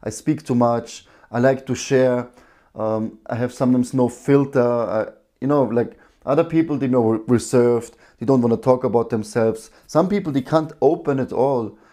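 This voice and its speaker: A man teaching breathwork in a live stream, explaining at an unhurried pace with a strong voice, frequent restarts and loose grammar. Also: mid-range voice, warm tone.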